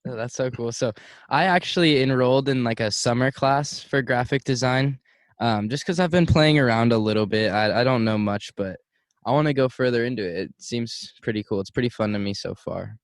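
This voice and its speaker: A man hosting a podcast in a casual conversation, talking in a relaxed way with many filler words. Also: medium pitch, a little fast, dry recording. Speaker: podcast host.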